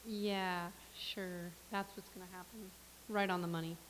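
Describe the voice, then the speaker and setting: A woman in a comedic staged reading playing a dry, knowing figure with a sarcastic deadpan. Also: fairly low pitch, measured pace, close to an everyday voice. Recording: hissy, reverberant hall recording.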